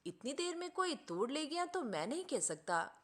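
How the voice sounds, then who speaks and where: A woman's voice: high-pitched, big pitch swings, normal speed, a bit bright. A woman voicing a sly male gardener in a storybook, deferential and feigning innocence. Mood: feigned innocence.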